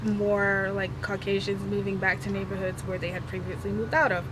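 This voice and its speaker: A woman in her thirties, originally from Guyana, a high school teacher answering street interview questions in a relaxed, frank, conversational way. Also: a little fast. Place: outdoors on a city street. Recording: loud music and traffic behind.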